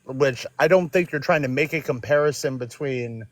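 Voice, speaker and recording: A man in his forties from Florida calling into a live stream, speaking in a measured, reasoned way. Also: mid-range voice. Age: 40s. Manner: measured, reasoned, stalling a little. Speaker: caller.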